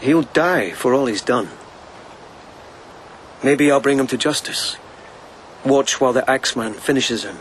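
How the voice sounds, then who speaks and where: An actor playing a reserved young lieutenant in a period radio drama, speaking in a terse, understated way. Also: male voice, medium pitch, a little slow, pauses often, slight background noise, natural voice, low-quality recording. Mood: grim, controlled. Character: reserved, wistful young lieutenant, a widower bent on vengeance.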